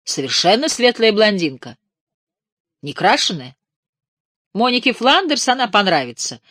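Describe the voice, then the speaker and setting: A woman's voice: mid-range voice, big pitch swings, unhurried, a bit bright, no echo, clean studio sound, pitched above the performer's own voice. A woman voicing a shrewd, appraising middle-aged male talent agent, speaking in a cool businesslike way.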